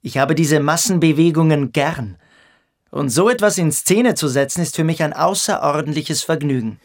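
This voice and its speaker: A smug boarding-school boy in a radio drama, with a fairly high voice. He schemes with amused cynicism and mocks the others as he talks. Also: normal speed. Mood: amused, scheming. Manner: mocking, smug. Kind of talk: radio play acting.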